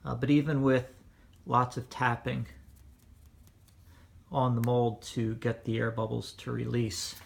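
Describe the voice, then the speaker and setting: Gravelly man giving a home demo. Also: medium pitch, level tone, unhurried, pauses often, slight background noise. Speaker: host, record hobbyist. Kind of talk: casual demonstration of vintage records. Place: indoors, living room at home.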